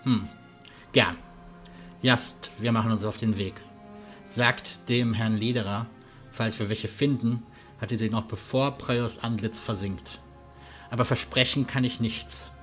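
A man's voice: medium pitch, steady tone, measured pace, fairly bright tone, soft background music, lightly acted. A man playing a friendly, helpful and somewhat hesitant castle huntsman in a fantasy audio drama.

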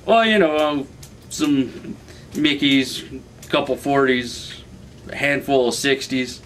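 A man's voice: medium pitch, slow pace, a bit bright. A man acting a folksy, hard-drinking good old boy who talks in a hesitant, roundabout way in a comedy sketch. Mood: folksy, coy. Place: small indoor room, camera mic. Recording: distant camera mic, background room noise.

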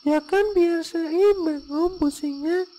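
Little cartoon ghost kid, really high soft-toned voice, cocky and eager. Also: female voice, takes it slowly, a little hiss or hum. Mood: eager, cheeky. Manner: childlike, casual, cocky. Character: small cheeky tuyul child ghost, eager to help. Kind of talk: comic cartoon dialogue.

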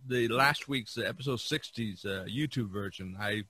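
A man's voice: lowish voice, normal speed, sharp tone, slightly rough, dry recording. A man hosting a podcast in a casual, rambling, folksy way. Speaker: podcast host. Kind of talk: casual chat.

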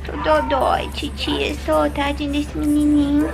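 A young woman voicing her sick little toddler son in high, slow baby talk. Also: monotone, over music, low-quality recording. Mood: cooing. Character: sick little toddler boy, whiny and sweet.

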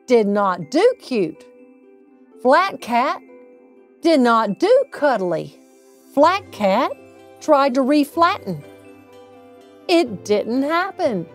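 A middle-aged woman reading a children's bedtime story aloud, with deliberate, drawn-out emphasis on phrases. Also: a little high. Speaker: storybook reader, local insurance agent.

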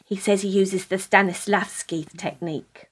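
A woman's voice: medium pitch, steady tone, moderate pace, husky, lightly acted. A British woman playing an earnest, starstruck theatre fan in a radio play, prim and gushing.

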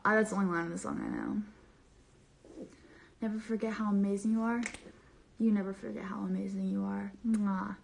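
A woman's voice: mid-range voice, fairly even intonation, fairly quick, creaky, long pauses, darkish tone, slight background noise, low-quality recording. A young woman chatting casually on a livestream from her bedroom, reading viewer comments aloud in a bubbly, playful, rambling way full of slang. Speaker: social media creator on a live stream. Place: bedroom, small indoor room. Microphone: phone camera at arm's length.

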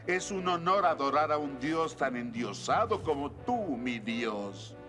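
A man's voice: a little high, expressive, measured pace, music in the background. A man voicing a fawning, servile Roman courtier in a cartoon, gushing and obsequious. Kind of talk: cartoon acting.

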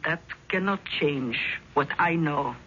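A woman's voice: deep voice, takes it slowly, fairly bright tone. An actress playing a grave, superstitious immigrant mother in a 1970s radio drama, fretful and formal. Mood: ominous. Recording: dull, faintly noisy 1970s radio recording.

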